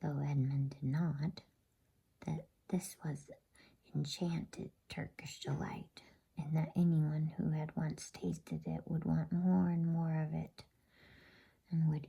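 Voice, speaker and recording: A woman narrating a bedtime story in ASMR style, whispering in a soft, soothing, unhurried way. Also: low-pitched, little pitch movement, vocal fry, slightly rough, poor audio.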